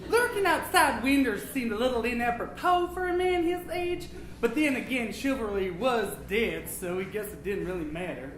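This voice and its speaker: A man's voice: extremely high pitch, animated, normal speed. A man voicing a vain, absent-minded old vampire, reading a comic storybook aloud in a melodramatic, pompous, campy manner. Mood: grandly mysterious. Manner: melodramatic, pompous, campy, hushed then suddenly loud. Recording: echoey room, noisy live recording.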